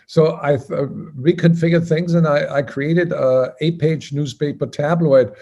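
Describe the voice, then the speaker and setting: An older man with an Austrian accent, a guest telling his story in an easygoing way, with frequent "uh" fillers. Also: medium pitch. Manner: relaxed, conversational, frequent uh fillers. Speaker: guest, business founder. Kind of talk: storytelling interview answers.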